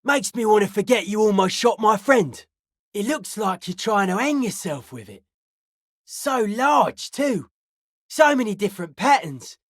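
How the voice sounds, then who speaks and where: A woman voicing a suspicious, aloof young hardcore raver in a video game, speaking in deadpan, clipped, cryptic pronouncements. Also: medium pitch, measured pace, dry recording, clean studio sound. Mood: dry, guarded. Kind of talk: video game character dialogue.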